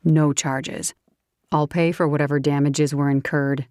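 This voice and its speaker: A woman's voice: level tone, a little slow, darkish tone, dry recording, studio quality. A woman voicing a stern, protective man who speaks firmly and in a clipped way in an audiobook. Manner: firm, clipped, low-key. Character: stern, protective grown man, gruff and calm.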